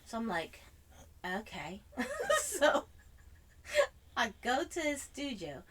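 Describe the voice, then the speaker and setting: A woman telling an animated anecdote in casual chat, theatrically acting out dialogue with a put-on British accent. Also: fairly high pitch, slow pace. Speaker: storyteller.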